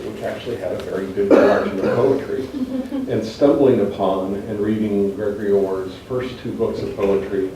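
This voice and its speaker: A man in his fifties giving a prepared introduction in a measured, formal, literary way, as a university teacher presenting a guest. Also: fairly low pitch, mellow. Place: indoor university reading event. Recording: echoey room, distant mic, faint noise.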